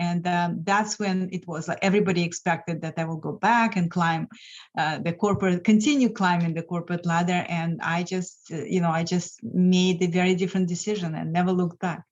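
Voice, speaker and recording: A mature woman speaks as an interview guest. Her voice sits a little low and she talks haltingly, with many hesitations. The audio is slightly dull and lo-fi. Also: fairly even intonation, darkish tone. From Lithuania. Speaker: interview guest, financial mentor. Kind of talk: conversational interview.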